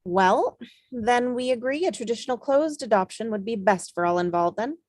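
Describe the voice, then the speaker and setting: A woman's voice: on the high side, animated, normal speed, a little mellow, no echo, clean studio sound. A woman reading the part of a formal, businesslike adoption lawyer who explains things in a screenplay table read. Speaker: actor in a live table read.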